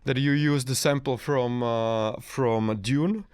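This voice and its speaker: Man, mid-pitched voice, non-native English, radio interviewer, casual and chatty. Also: steady tone, takes it slowly, a little mellow, smooth. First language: not English.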